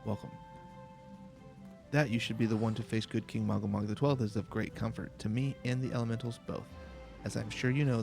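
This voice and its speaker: A solemn, courteous elder from a fantasy game, read out by a man. The speech is formal and measured, in a mid-range voice with some gravel. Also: medium pitch, natural voice.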